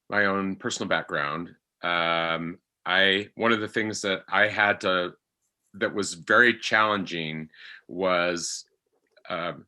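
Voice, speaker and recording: Slow-spoken middle-aged man, bright low voice. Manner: halting, searching for words. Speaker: podcast actor and guest. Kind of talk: reflective personal conversation.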